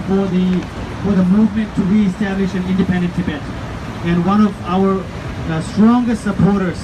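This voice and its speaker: Man, fairly high voice, hesitant, rally opener, outdoors, traffic noise. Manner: hesitant, many fillers. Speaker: rally organizer. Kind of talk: rally speech. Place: outdoor street protest.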